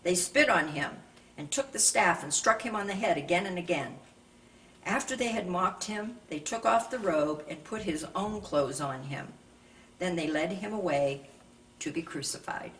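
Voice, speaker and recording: A woman reading a Bible narrative aloud from a script in a measured, deliberate way, as a scripture reader. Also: fairly low pitch, expressive, fairly bright tone. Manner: measured, raises voice for quoted speech. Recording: distant microphone with faint background noise.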